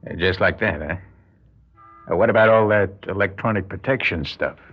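A man's voice: low voice, normal speed. An actor playing a wary, world-weary con man in a radio drama, with a wry, street-wise way of talking. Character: wary, world-weary male con man narrating his own crime. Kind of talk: classic radio drama acting with first-person narration. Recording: dull lo-fi radio drama recording.

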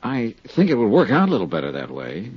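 Cool radio detective, swooping low voice. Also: male voice, huge pitch swings, husky, no room sound, full character voice. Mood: calm, courteous. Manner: measured, insinuating, courteous questioning. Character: cool, probing male insurance investigator. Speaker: radio drama actor playing the lead detective. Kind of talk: 1950s radio drama acting. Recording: dull, dry 1950s radio recording.

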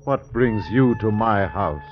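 A man's voice: lowish voice, animated, slow pace, faint music behind. An actor playing a rich, miserly, indignant old Indian village father, melodramatic and exclamatory in a 1950s radio drama. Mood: gruff, wary. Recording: dull, noisy old radio recording.

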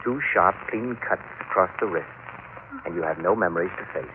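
A man's voice: low-pitched, brisk, lightly acted. Man acting sinister schemer on tinny old radio. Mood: sinister coaxing. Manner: insinuating, coldly persuasive, sinister. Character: cold, cruel, manipulative murderous male schemer. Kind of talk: classic radio drama acting. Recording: tinny, hissy vintage radio recording.

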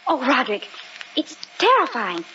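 An actress playing an anxious, emphatic well-to-do wife in an old-time radio horror drama. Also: female voice, sits high, huge pitch swings, moderate pace, bright, forceful tone, full character voice. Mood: alarmed, insistent. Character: anxious, insistent well-to-do wife, easily alarmed. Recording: muffled, noisy, distant old radio recording.

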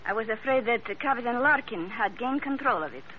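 A woman's voice: fairly high pitch, lively intonation, a little fast, natural voice. A woman playing a scheming foreign femme fatale with a Spanish accent, speaking in careful, formal phrases in an old-time radio drama. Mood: anxious, insistent. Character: sultry, scheming foreign woman, outwardly grieving and frightened. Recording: muffled, noisy old radio recording.

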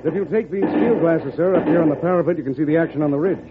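A man's voice: fairly high pitch, fast, narrow, tinny audio, natural voice. A man playing a respectful, slightly nervous army captain in a vintage radio drama, with hesitant military formality. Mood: dutiful. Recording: thin, noisy old radio broadcast.

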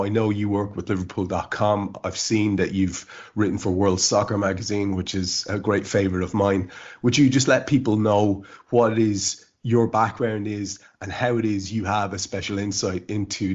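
A man hosts a podcast, chatty and playful as he welcomes his guest. His voice sits very low. The recording is slightly dull and lo-fi. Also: steady tone, normal speed. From Ireland. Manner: chatty and jokey, laughs easily. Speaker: podcast host. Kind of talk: casual interview chat. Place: outdoors in a rural field.

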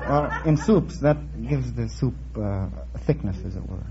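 A man's voice: medium pitch, expressive, unhurried, a crowd in the background. A man answering a quiz host as a contestant, with formal, precise and wordy diction. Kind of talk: answering a host's questions. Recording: muffled, slightly noisy 1950s radio recording.

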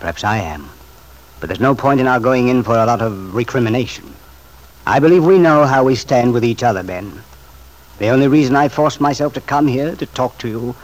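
Principled, reproachful uncle, played by a man, measured delivery, medium pitch, classic radio drama. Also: lively intonation, close to an everyday voice, poor audio. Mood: pleading. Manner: earnest, measured, moralizing. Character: earnest, principled bachelor uncle, reproachful but restrained. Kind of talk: old-time radio drama acting.

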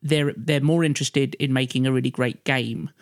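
A man's voice: medium pitch, steady tone, normal speed, no room sound, studio quality. A man in a casual podcast discussion, talking in a rambling way with lots of hesitant asides. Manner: hesitant, rambling, frequent you-know and like. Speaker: podcast panelist.

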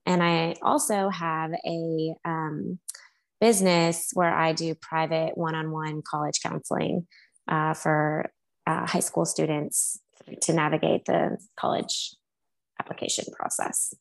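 A woman from Texas talks as a podcast guest, slowly and unhurriedly. She answers thoughtfully, often pausing and restarting as she works out her ideas. Her voice sits a little low for a woman. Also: level tone, vocal fry. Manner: thoughtful, with many ums and restarts. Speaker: guest, high school teacher. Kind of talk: casual conversational interview.

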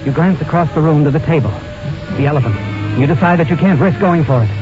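A man's voice: a little high, over music, natural voice. Actor as insinuating vintage radio suspense narrator, male, dull recording. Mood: tense, insinuating. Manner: taunting, deliberate, dramatic. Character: knowing, insinuating male storyteller addressing the culprit. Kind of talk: 1940s-50s radio suspense narration in second person.

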